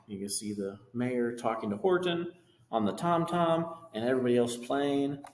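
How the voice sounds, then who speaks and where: A man's voice: medium pitch, expressive, moderate pace, darkish tone, a little hiss or hum. A man reading a children's storybook aloud, with casual asides about the pictures. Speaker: reader of a children's book. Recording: echoey room with long reverb.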